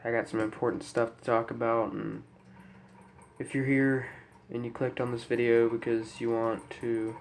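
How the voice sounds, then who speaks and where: Young male vlogger, congested with a cold. Subdued, flat delivery, halting and serious, light background noise on a camera mic. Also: mid-range voice, creaky. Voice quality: stuffy, congested. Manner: somber, hesitant, apologetic. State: head cold. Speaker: YouTuber. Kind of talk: unscripted personal talk to camera. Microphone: camera microphone.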